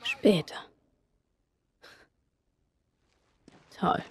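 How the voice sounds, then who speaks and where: A woman voicing a feisty, inquisitive teenage girl survivor in a video game, blunt and sarcastic, with a husky voice. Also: unhurried, natural voice. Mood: curious, annoyed. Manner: sarcastic, questioning. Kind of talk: dramatic video game acting.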